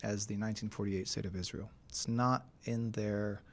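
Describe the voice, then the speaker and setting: A man's voice: fairly low pitch, fairly even intonation, normal speed, creaky. A man co-hosting a podcast, who argues his opinions in a casual, emphatic and insistent way. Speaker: podcast co-host.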